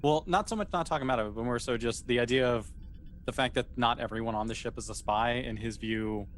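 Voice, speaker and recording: A man's voice: mid-range voice, brisk, slight background noise. A man narrating an improvised tabletop game as game master in a conversational, explanatory way.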